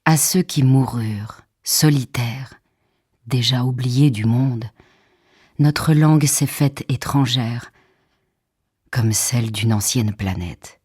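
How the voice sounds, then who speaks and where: A woman recites literary verse with solemn expression. Her delivery is very slow and measured, with deliberate pauses. The voice is husky and hushed, captured close in a dry radio studio. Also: very deep voice, no echo. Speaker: poetry reader. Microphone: close studio microphone.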